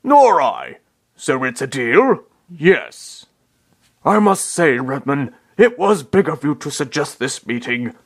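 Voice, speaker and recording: A man voicing a dim, blustering old rich man in a comic dub, pompous, earnest and excitable. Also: a little high, slow pace, vocal fry, slightly rough, heavily acted. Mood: stuffy.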